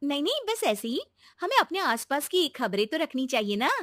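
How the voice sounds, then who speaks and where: A woman voicing an earnest, encouraging young daughter-in-law who reasons gently in a cartoon moral story. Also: sits high, very animated, moderate pace, no echo, studio quality. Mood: earnest, persuasive.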